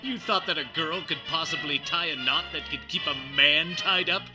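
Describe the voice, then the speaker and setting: An actor playing a pompous, square, chauvinist superhero detective in a parody of an old-time radio serial, exclaiming melodramatically. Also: male voice, on the high side, moderate pace, lightly acted. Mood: indignant, taunting. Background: music.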